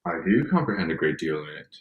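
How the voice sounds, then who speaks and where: A man playing a proud, reserved young gentleman in a period drama reading, formal, measured and aloof. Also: very deep voice, sing-song, muffled recording. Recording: echoey room.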